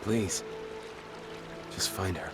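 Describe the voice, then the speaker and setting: A man's voice: very slow, loud music behind the voice. A man voicing an injured, widowed tribesman in a video game, a guilt-ridden father who speaks in a strained, pleading way. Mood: pleading, worried. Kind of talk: game dialogue acting.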